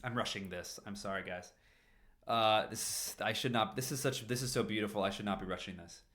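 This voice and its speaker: A man's voice: fairly low pitch, a little fast, a bit bright. A man narrating a story aloud in an informal live reading, breaking off for apologetic asides. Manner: self-interrupting, apologetic asides. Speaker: streamer reading fan fiction aloud.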